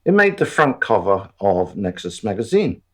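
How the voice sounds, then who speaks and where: A man plays a wry storyteller narrating a radio drama. His voice is low and husky, and he takes his time. The intonation rises and falls widely while the asides stay deadpan. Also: moderate pace, heavily acted. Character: wry male storyteller looking back on events.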